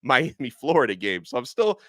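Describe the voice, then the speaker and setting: A man hosting a sports podcast, talking fast and excitably with plenty of ums and uhs. His voice is a little hoarse and sits at a middle pitch. The sound is dry and close, with no room around it. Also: dry recording. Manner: animated, excitable, with frequent fillers. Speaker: podcast host. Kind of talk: casual sports podcast talk.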